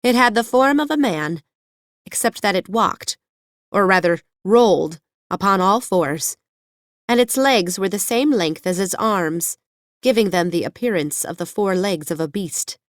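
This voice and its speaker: A woman narrating children's fiction as an audiobook, in a measured storytelling manner. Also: mid-range voice, animated, no echo, clean studio sound.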